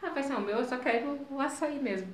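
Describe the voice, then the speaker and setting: A woman's voice: high voice, very fast. Chatty young woman, roomy sound. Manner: storytelling, acts out quoted voices. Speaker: podcast co-host. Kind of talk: casual chat.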